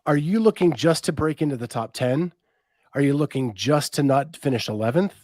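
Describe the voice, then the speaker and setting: A man's voice: a little high, lively intonation, a little fast, a little mellow, no room sound, studio quality. A man hosting a podcast, asking easygoing questions and reading out listener comments. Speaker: podcast host. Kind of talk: casual podcast interview.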